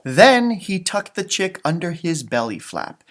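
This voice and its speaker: Animated man reading to young children. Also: high voice, unhurried. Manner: animated, exaggerated, teacherly, repeats phrases for children. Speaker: music teacher reading storybooks aloud to children. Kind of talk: children's storybook read-aloud with chatty asides.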